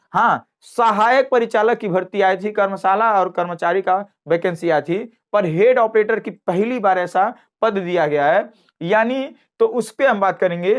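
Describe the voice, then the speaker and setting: A teacher explains informally and emphatically, repeating phrases and checking that listeners follow. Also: mid-range voice. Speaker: math teacher at a coaching class. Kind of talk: informal exam cut-off explainer.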